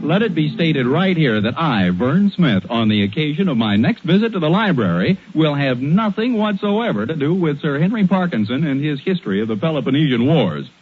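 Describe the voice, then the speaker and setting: A man's voice: fairly high pitch, big pitch swings, fairly quick, few pauses, faint music behind. An American man announcing on 1940s radio in a polished, ornate and emphatic sales style, on a tinny old recording.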